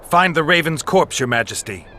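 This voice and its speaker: An actor playing a stoic, world-weary monster hunter in a video game, gravelly and matter-of-fact. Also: male voice, fairly high pitch, normal speed, slight background noise. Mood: calling out directions. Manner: terse, flat, matter-of-fact.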